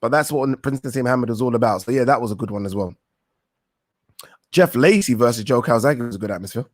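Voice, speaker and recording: A man's voice: medium pitch, fairly quick, no room sound, clean studio sound. A young man hosting a live boxing talk stream, reading viewer questions aloud and answering in a rambling, thinking-aloud way with casual swearing. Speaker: boxing talk show host.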